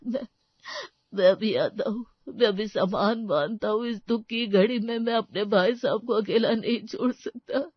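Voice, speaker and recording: A woman's voice: a little high, moderate pace, no room sound, highs cut off, lightly acted. A woman voicing a doting, emotional older village uncle with dramatic, exclamatory delivery in a story. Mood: shocked, distressed. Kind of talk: Hindi audio story dramatization.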